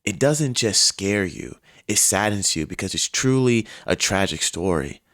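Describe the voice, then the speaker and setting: A man hosting and narrating a scripted video essay, telling the story in a dramatic, emphatic way with deliberate pauses. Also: fairly low pitch, lively intonation, fairly bright tone, no room sound, studio quality.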